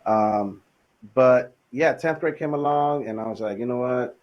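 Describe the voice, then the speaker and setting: A man in his thirties from Los Angeles, a podcast guest telling his story in a relaxed, casual way with plenty of fillers. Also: mid-range voice, a little slow, muffled recording. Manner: relaxed, laid-back, frequent fillers. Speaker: podcast guest, business owner.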